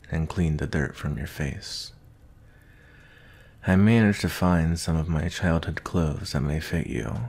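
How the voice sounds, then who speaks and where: Man playing a deep gravelly werewolf hunter. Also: a little mellow, full character voice. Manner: slow, deliberate, murmuring. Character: gruff male werewolf bounty hunter with a hidden soft side. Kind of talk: ASMR roleplay, intimate scripted acting. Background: nature ambience.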